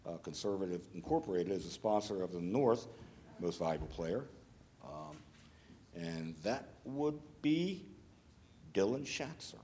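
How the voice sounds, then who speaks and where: A low-pitched man runs a city council meeting from the podium microphone. He moves briskly through the announcements with plenty of ums and uhs. A faint hiss sits under his voice. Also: lively intonation, warm tone. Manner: brisk, plain-spoken, with frequent fillers. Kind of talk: formal meeting chair with announcements. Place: council chamber.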